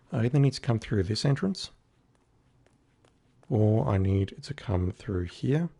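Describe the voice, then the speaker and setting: A man's voice: lowish voice, vocal fry, dark tone, breathy edge. A man with a scratchy voice giving casual, wry live commentary on a game he is playing. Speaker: game streamer.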